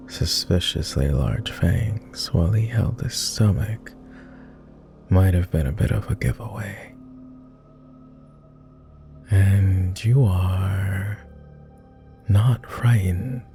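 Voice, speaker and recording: A man playing a weary, courtly vampire lord in an ASMR roleplay, murmuring formally and hesitantly with many pauses, close to the microphone. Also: deep voice, gravelly, dark tone, full character voice. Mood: wry, guarded.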